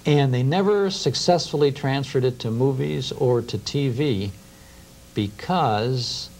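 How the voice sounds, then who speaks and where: A man's voice: medium pitch, slow, a little mellow, a little hiss or hum. A middle-aged American man talking in conversation as an interview guest, explaining things with enthusiasm. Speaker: guest, radio historian. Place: small TV studio. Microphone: lapel mic.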